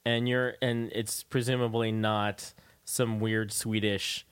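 A man hosting a casual conversational interview, thinking aloud haltingly and putting in follow-up questions. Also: lowish voice, level tone, smooth, no echo. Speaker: radio show host interviewing a guest.

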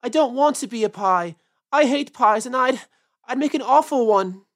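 A woman's voice: a little high, expressive, moderate pace, natural voice. A woman voicing a frightened, stubborn young boy rabbit who wails and insists. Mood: wailing. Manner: wailing, insistent.

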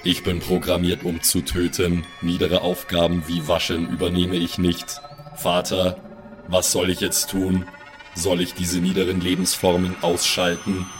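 A man's voice: low-pitched, completely flat delivery, unhurried, music in the background. A man voicing a cold, formal and haughty killer robot in an improvised comedy audio drama. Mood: haughty, menacing. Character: cold deadly male robot, obedient to its father.

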